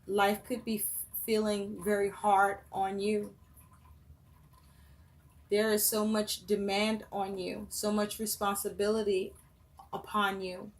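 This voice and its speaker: A woman giving a tarot reading for her viewers, listing phrase after phrase in a slow, measured way. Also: mid-range voice, flat delivery. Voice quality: voice rough and breaking, coughing. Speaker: tarot reader.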